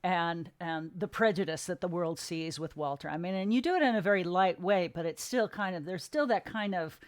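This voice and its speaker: A woman hosting a casual interview, putting questions and comments in an informal, halting way. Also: fairly low pitch.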